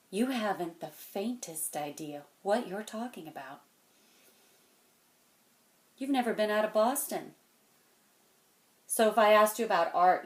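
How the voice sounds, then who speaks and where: A woman performing a dramatic monologue as a weary, wounded, stern mentor, speaking deliberately and intensely, moving between hushed and forceful. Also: lowish voice, close to an everyday voice. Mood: challenging. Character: weary, wounded, stern mentor confronting a cocky young genius. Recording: echoey room.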